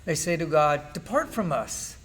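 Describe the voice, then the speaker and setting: A man's voice: fairly high pitch, very animated, normal speed, a bit bright. A man playing an anguished, bitter suffering man in an amateur church Bible drama, reciting in a declamatory way. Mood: bitter, defiant.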